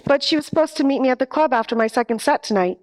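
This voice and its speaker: Noir parody nightclub singer, female actor: high voice, jittery, pleading, faltering helpless-dame delivery. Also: very fast, natural voice. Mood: earnest. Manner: hesitant, imploring. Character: nervous, pleading female nightclub singer, a femme fatale client. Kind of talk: 1940s radio noir parody acting.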